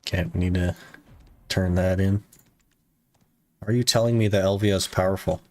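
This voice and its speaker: Low-voiced man chatting on a game stream. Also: moderate pace. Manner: relaxed, talks to chat with many "like" fillers. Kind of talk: casual live-stream chat while gaming.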